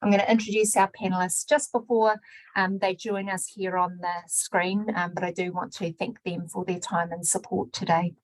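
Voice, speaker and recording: A woman opening and hosting a research webinar, measured, mixing in Māori greetings. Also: lowish voice, little pitch movement, no room sound, poor audio. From New Zealand. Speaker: webinar host and research director. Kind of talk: scripted webinar introduction.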